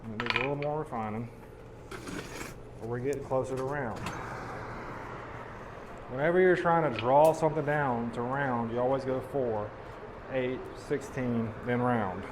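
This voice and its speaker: Hoarse man, blacksmith demonstrator, folksy step-by-step teaching, loud hammer clanging. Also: mid-range voice, a little slow, pauses often, noisy background. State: hoarse, losing his voice.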